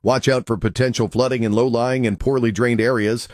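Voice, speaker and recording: A man's voice: mid-range voice, brisk, no echo. Male meteorologist, dry studio read. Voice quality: even, informative. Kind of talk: weather forecast read.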